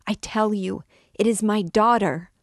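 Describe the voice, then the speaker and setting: A wild, grief-mad recluse mother screams for help and curses her captors in a high, piercing voice. Her pleading is loud and desperate. The sound is dry and close. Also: a little slow, no room sound. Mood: frantic.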